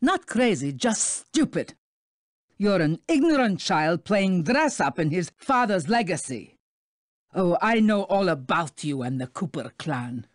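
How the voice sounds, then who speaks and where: An actress voicing a scheming spider countess in a video game, theatrical and condescending. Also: female voice, on the low side, big pitch swings, a little slow, no echo, clean studio sound. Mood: scornful, menacing.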